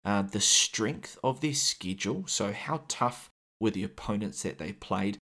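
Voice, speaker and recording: A man with a New Zealand accent and a deep, low voice hosts a solo gaming podcast. He talks through the material in a measured, explanatory way, with frequent "uh" fillers and small restarts. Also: animated, bright, forceful tone. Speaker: podcast host.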